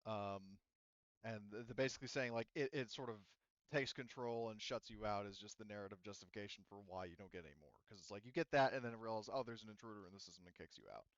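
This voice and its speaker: A man running a tabletop roleplaying game as game master, explaining events casually in halting, rephrased sentences. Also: fairly low pitch, dry recording, muffled recording. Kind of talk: casual, improvised game narration.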